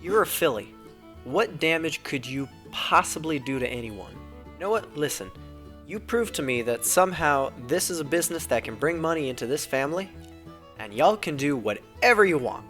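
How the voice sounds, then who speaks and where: A man's voice: fairly high pitch, animated, moderate pace, a bit bright, faint music behind. A man voicing a stern, exasperated female farm pony, folksy and dismissive, in a cartoon parody.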